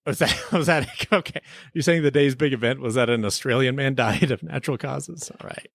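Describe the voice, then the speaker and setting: A man's voice: medium pitch, brisk, gravelly, no room sound. Teasing male podcast host, dry close sound. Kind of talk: comedic improvised mock-court hosting.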